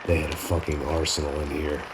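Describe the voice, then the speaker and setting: An actor playing a weary, hard-bitten ex-cop narrating in a sardonic noir voice-over. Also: male voice, very deep voice, little pitch movement, brisk, music in the background. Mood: grim.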